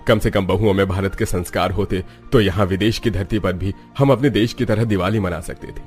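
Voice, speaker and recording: Aging cartoon father, played by a man: low voice, homesick and stern head of the house, music behind. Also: fairly quick, darkish tone, close to an everyday voice. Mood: wistful. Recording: background music under the voice.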